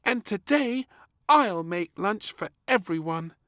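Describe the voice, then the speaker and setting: A man voicing an excitable, bossy little girl princess in a children's storybook reading. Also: sits high, slow pace, no room sound, studio quality, pitched above the performer's own voice, full character voice. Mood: happy.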